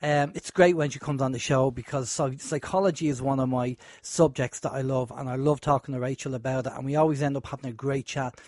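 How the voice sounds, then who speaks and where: A man hosting a radio show, chatty and rambling, a bit hoarse from a sore throat. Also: mid-range voice, steady tone, a little fast, few pauses, darkish tone, dry recording, studio quality. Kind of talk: casual radio talk.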